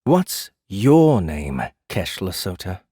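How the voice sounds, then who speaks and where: An actor playing a calm, smugly possessive male fae captor in an audiobook drama, measured and quietly taunting. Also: low-pitched, breathy edge, no echo, clean studio sound, full character voice. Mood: cold, taunting.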